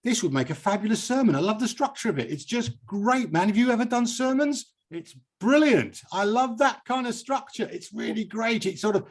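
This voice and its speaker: A man's voice: high voice, animated, moderate pace, hardly any pauses. A British man hosting a poetry reading in an effusive, digressive, conversational way. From United Kingdom. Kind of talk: live event hosting, conversational interviewing.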